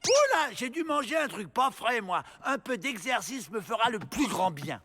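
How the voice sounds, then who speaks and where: A man's voice: very high-pitched, sing-song, normal speed, background noise. A man voicing a dim, childlike, easygoing male cartoon character. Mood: carefree. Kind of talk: animated cartoon acting. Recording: music behind the voice.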